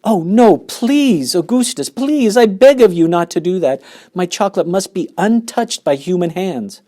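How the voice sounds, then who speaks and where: A man voicing an excitable, eccentric chocolate-maker in a storybook, fluttery and pleading. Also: medium pitch, normal speed, pitched above the performer's own voice. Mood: pleading fussy.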